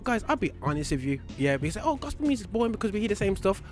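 A man's voice: high voice, racing. A man hosting a gospel music show, chatting casually and rambling with lots of restarts. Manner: animated, rambling with many restarts. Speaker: radio show host. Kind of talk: casual studio chat.

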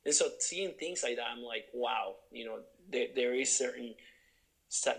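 A man's voice: medium pitch, vocal fry, fairly bright tone, rough recording. Earnest young man from Honduras. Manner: earnest, searching, many restarts and fillers. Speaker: guest. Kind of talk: reflective interview conversation.

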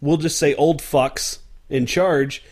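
A man's voice: fairly high pitch, fairly even intonation, slow pace, darkish tone. A young adult man hosting a casual podcast, loose and talkative, musing with fillers. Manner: loose and joking, then reflective with fillers. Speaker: podcast host.

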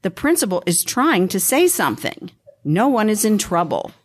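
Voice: mid-range voice, very animated, moderate pace, husky. A female performer playing a schoolteacher keeping order in class in an amateur radio play. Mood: firm.

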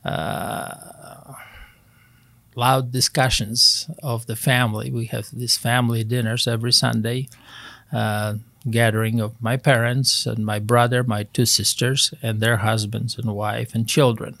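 A man of about seventy from Poland, with a Polish accent, speaking as an interview guest in a measured way and searching for his words. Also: medium pitch, flat delivery, gravelly, fairly bright tone, no echo. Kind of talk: interview, recounting family history.

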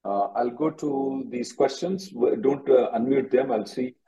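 A man's voice: mid-range voice, a little slow, warm tone, low-quality recording. A man hosting an advisory call-in as an attorney, directive and given to repeating himself. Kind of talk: advisory call-in question and answer.

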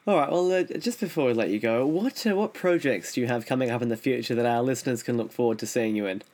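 A man's voice: mid-range voice, fast talker, a little mellow. A man hosting an interview podcast, putting prepared questions to his guest in a polite, fairly formal way.

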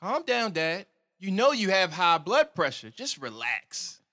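An actor playing an eager, cocky 22-year-old son who talks in an upbeat, defiant way. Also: male voice, sits high, huge pitch swings, a little slow, pushed and bright, exaggerated voice. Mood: coaxing. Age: 20s.

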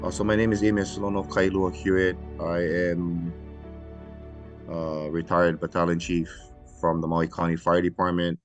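A middle-aged man from Hawaii, a retired fire chief, talking in an interview in a soft-spoken, halting way with many fillers. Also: sits low, flat delivery, soft background music. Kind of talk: casual interview.

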